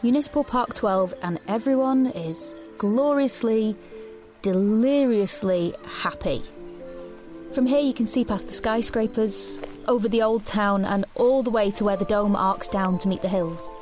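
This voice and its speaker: A young woman played by an actress narrates her life in a sci-fi drama. Her medium-pitched voice is confiding and wry, with soft music behind it. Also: a little slow, natural voice. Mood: reflective. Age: young adult. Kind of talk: first-person scripted drama narration.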